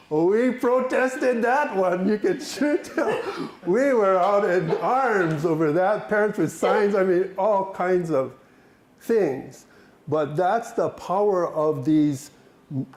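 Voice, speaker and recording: A man's voice: sits high, lively intonation, takes it slowly, a little mellow. A man leading a workshop session, talking animatedly, laughing and asking the group questions. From Hawaii. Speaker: workshop presenter. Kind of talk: interactive workshop talk.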